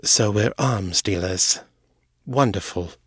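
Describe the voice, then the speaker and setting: A man's voice: on the low side, slow pace, slightly rough, dry recording. A man voicing a blunt, principled female vampire who presses her points directly. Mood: pressing.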